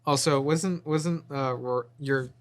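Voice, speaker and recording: A man's voice: mid-range voice, steady tone, slow pace. A man co-hosting a casual movie discussion, often hesitant and trailing off mid-sentence. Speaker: podcast co-host.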